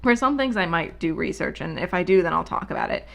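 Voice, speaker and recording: A woman hosting her own channel, talking unscripted and alone at her microphone in a soothing, relaxed, rambling and candid way. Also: fairly low pitch, fast, gravelly. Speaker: channel host. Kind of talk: unscripted casual monologue. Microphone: solo at her own microphone.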